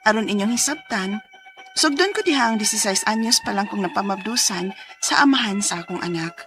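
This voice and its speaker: A woman's voice: medium pitch, lively intonation, brisk, a bit bright, faint music behind. A woman playing a young single mother who earnestly tells her story in a radio drama, with a Cebuano accent. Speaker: letter sender narrating her story.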